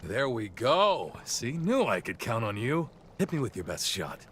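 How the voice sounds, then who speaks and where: An actor playing an easygoing middle-aged warrior captain and encouraging mentor in a video game cutscene. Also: male voice, medium pitch, sing-song, measured pace, background noise. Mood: playful, confident.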